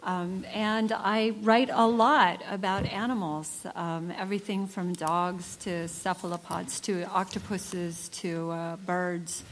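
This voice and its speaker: A woman's voice: lowish voice, fairly even intonation, takes it slowly, hardly any pauses, slight background noise. A woman writer speaking on a convention panel and talking through ideas thoughtfully. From United States. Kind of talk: panel discussion.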